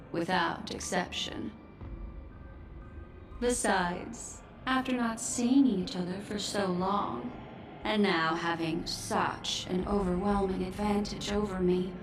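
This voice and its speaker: An actress playing a composed, shrewd video game woman who speaks in a measured, coolly pointed manner. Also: medium pitch. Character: composed, shrewd, cool-headed woman facing her old betrayer. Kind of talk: dramatic video game voice acting. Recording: echoey, distant, music underneath. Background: game music.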